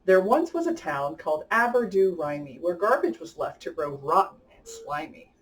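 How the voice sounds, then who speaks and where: A woman's voice: lowish voice, sing-song, moderate pace, slight background noise. A woman hosting story time for children, speaking enthusiastically and directly to young listeners as she chats and reads aloud. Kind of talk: picture-book read-aloud and chat for children. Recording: distant from the mic.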